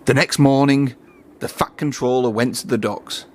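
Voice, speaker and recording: A man's voice: medium pitch, fairly even intonation, moderate pace, darkish tone. Man reading a children's story aloud. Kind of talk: read-aloud story narration.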